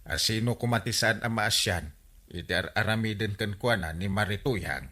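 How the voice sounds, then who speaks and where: An actor playing a bumbling, forgetful village captain in an Ilocano radio comedy, stammering and exclaiming in a flustered way. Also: male voice, lowish voice, moderate pace, pushed and bright. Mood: grumbling. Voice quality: stammering, hesitant speech with many eh's.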